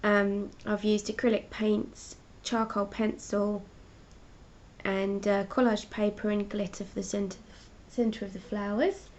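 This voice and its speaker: A congested woman with a mellow, flat-toned voice talks casually through her artwork on a live stream, explaining each piece in a chatty way. The sound is dull and lo-fi, with a faint rumble behind her. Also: a little slow. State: congested with a cold. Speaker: artist hosting her own live stream. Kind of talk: casual art chat, showing paintings.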